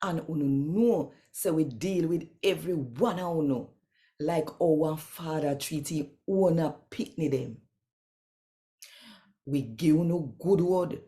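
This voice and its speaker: A woman with a Jamaican accent reading scripture expressively in Patois, with deliberate, emphatic, storytelling phrasing. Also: deep voice, dark tone. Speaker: scripture reader.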